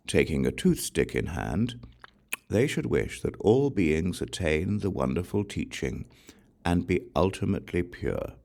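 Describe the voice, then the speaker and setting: A man reads sacred text in a very deep voice, slow and deliberate. His delivery is solemn and formal, yet the pitch moves expressively through each measured phrase, as in a careful audiobook narration. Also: gravelly, a little mellow. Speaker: audiobook reader. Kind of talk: scripted reading of Buddhist scripture.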